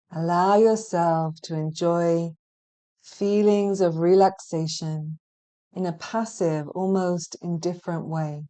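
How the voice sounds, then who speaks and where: A woman with a fairly low, gentle voice guides a hypnotic relaxation session. Her tone is dark and mellow, and she speaks very slowly. Phrases come in a measured, soothing flow with long pauses between them. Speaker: hypnotherapy practitioner guiding meditation. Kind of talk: guided relaxation meditation, hypnotic scripted narration.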